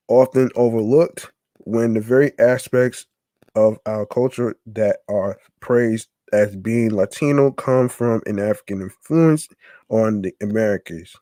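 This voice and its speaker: A man with a lowish, mellow voice reads a long text aloud on his podcast. He moves very slowly, often stumbling and restarting mid-word. The sound is close and dry. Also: no room sound. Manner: halting reading, stumbling and restarting over words. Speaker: podcast host.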